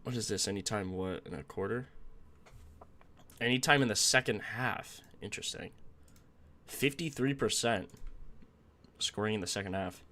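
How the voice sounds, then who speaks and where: Young man, low voice, a bit raspy, casual stream host, chatty and thinking aloud, desk mic, keyboard clicks behind. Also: moderate pace, pauses often, bright, forceful tone. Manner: chatty, thinks aloud, greets chat viewers. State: slightly raspy from a sore throat. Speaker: sports betting stream host. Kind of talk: casual live-stream chat.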